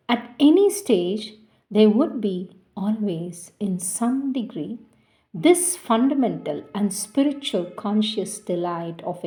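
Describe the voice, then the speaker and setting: A woman giving a slow, reverent reading of a spiritual text, her phrases trailing off softly. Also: medium pitch. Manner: measured, reverent, trailing softly at line ends. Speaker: devotional reader.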